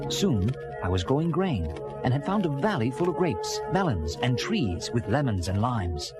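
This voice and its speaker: A man's voice: mid-range voice, close to an everyday voice. An actor playing an earnest castaway sea captain, telling his story in theatrical first-person storybook narration. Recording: music bed under early-1980s children's record. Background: dramatic background music.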